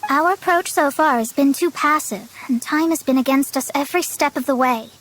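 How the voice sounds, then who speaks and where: Woman as a high-voiced, self-assured young astrologer. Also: close to an everyday voice. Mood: determined. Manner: brisk, self-assured explaining. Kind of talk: video game voice acting. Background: game music.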